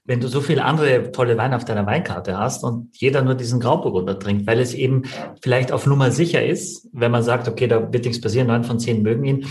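A man with a creaky, gravelly edge chats as a podcast co-host and wine connoisseur. He is casual and opinionated, building his points in long, wandering chains of clauses at a medium pitch. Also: quick pace, hardly any pauses, darkish tone.

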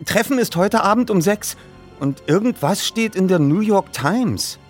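A man voicing an eager, worried young boy who pleads excitably in an audiobook reading. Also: high voice, unhurried, faint music behind, pitched above the performer's own voice. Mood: eager, hopeful.

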